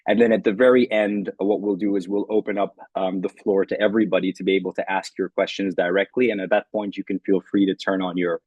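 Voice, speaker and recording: A man's voice: low-pitched, little pitch movement, fast, hardly any pauses, darkish tone, breathy edge, no room sound, dull audio. A man hosting a live online Q and A, talking in a hesitant, rambling way. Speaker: host.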